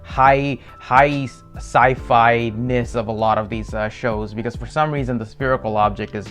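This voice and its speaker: A man's voice: mid-range voice, fairly even intonation, normal speed, a bit bright, soft background music. A man hosting a reaction video, talking casually and informally in slangy commentary.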